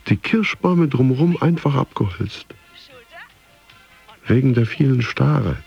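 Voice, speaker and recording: An actor playing a disgruntled, neurotic office worker who broods and mutters in a radio drama monologue. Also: male voice, mid-range voice, animated, a little slow, gravelly, a little mellow. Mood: bitter, stubborn. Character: disgruntled male office worker, neurotic, grumbling about body and cake. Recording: dull studio sound, faint music behind.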